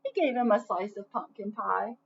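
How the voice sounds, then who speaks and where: A woman's voice: medium pitch, normal speed, vocal fry. A woman reading a storybook aloud for children, expressively, in the manner of someone addressing young listeners. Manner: expressive, asks listeners questions. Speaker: storybook reader. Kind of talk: children's read-aloud narration with asides. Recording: dull lo-fi sound, faint noise.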